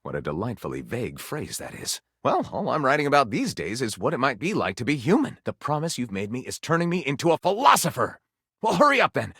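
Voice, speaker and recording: Theatrical bookish living library for a video game, super animated swoopy voice, florid and gushy, dry studio sound. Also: male voice, mid-range voice, breathy edge, dry recording, exaggerated voice. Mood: wondering, wistful. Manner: effusive, florid, theatrical diction. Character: flamboyant, theatrical bookish living library, eager and easily wounded. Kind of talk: video game character acting.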